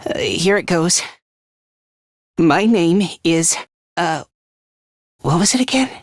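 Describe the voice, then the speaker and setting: A man's voice: a little high, lively intonation, slow, no room sound, clean studio sound. A man voicing a nervous, self-loathing teenage schoolboy in a video game, with a stammering, hesitant and defensive delivery. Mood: meek, gloomy. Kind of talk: English video game voice acting.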